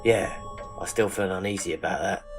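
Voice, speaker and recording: A down-to-earth pub landlord performed by an actor talks in a fairly deep male voice. He is matey, informal and a bit of a worrier. It is audio drama acting, with music clearly underneath. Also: fairly quick, natural voice. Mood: uneasy. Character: friendly, plain-spoken, worried working-class pub landlord. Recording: voice over prominent background music.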